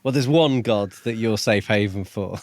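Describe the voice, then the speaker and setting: A man's voice: fairly low pitch, moderate pace, no room sound. A man co-hosting a casual book podcast, speaking in a tentative, agreeable way. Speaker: podcast co-host.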